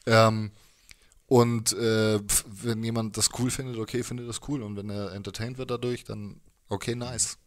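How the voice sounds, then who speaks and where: A man with a slightly low voice talks casually as an interview guest. He is laid-back and colloquial, playing himself down as he speaks. The handheld microphone is held close in a small indoor room. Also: level tone, normal speed. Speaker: musician guest.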